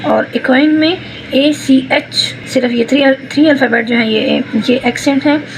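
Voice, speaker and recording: High, creaky-voiced Pakistani woman teaching. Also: poor audio. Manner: switches between Urdu explanation and slowly read English phrases. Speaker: teacher explaining textbook exercises. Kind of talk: instructional exercise walkthrough, spelling out words. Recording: noticeable background noise.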